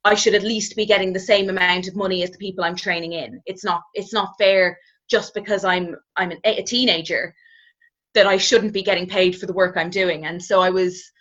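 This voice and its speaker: A woman's voice: mid-range voice, steady tone, a little fast, fairly bright tone. Reflective young Irish woman, muffled audio. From Ireland. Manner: reflective, often hedging with "kind of". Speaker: interview guest. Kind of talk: casual conversational storytelling. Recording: slightly dull, muffled audio.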